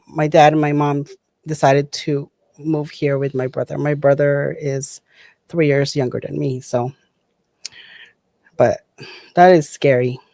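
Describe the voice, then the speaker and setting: A woman's voice: low voice, dark tone, dry recording. A middle-aged woman from the Philippines, reacting to videos in a chatty, hesitant way with many fillers and restarts. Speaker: reaction channel host. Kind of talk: casual reaction commentary.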